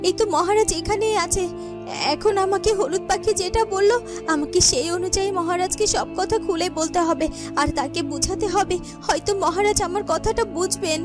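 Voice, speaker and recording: A woman playing an earnest, gentle young fairy-tale heroine in a cartoon, hesitant and animated. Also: very high voice, moderate pace. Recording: music behind the voice.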